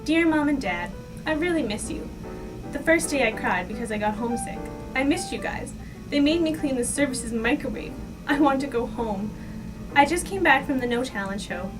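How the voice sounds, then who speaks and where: A woman's voice: high voice, expressive, moderate pace. A woman reading a child's letter home aloud in short, simple sentences. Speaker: staff member reading aloud. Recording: distant mic, guitar music behind. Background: guitar music.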